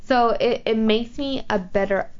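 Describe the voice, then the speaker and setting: A woman's voice: fairly high pitch, unhurried, smooth. A young adult woman in a casual chat as a guest, blunt and giggly, swearing freely. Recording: muffled lo-fi recording.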